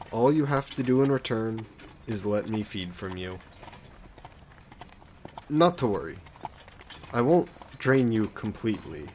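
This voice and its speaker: A man's voice: lowish voice, darkish tone, slight background noise. A man playing an aristocratic vampire lord in an audio roleplay, suave, smug and condescending, with a genteel, coaxing, possessive manner. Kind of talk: scripted roleplay audio drama monologue.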